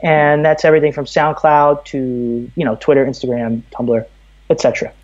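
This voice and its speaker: A man's voice: medium pitch, little pitch movement, moderate pace, a little mellow. American man, dull lo-fi audio. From Brooklyn, New York, USA. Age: 30s. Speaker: podcast guest. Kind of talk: conversational interview, opinionated.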